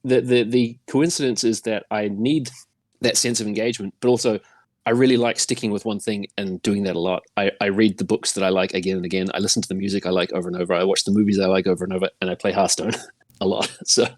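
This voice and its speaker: A man's voice: on the low side, steady tone, quick pace, dry recording, clean studio sound. A middle-aged man with a New Zealand accent chatting casually as a podcast guest, in a rambling, self-deprecating way. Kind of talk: casual chat.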